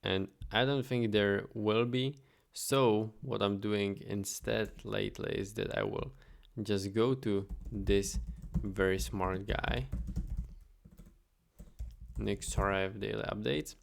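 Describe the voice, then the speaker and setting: A young man casually talking through his daily business update while reading viewer questions, chatty and relaxed, thinking aloud. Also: on the low side, slow, creaky. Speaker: automation agency owner vlogging. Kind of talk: casual screen-share vlog.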